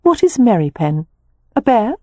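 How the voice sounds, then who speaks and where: A woman's voice: a little high, very animated, moderate pace, mellow, no room sound, voice pushed up. A woman voicing a young woman who is the amused, lightly teasing older sister.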